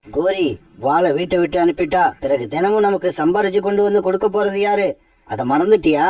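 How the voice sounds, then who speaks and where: A woman plays a tired husband bird in a cartoon, pushed around at home and always explaining himself. His voice is dark and mellow, and it sounds dry and a little away from the mic. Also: on the low side, a little fast, no room sound, distant mic, lightly acted. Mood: firm. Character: grown male bird, henpecked husband, weary.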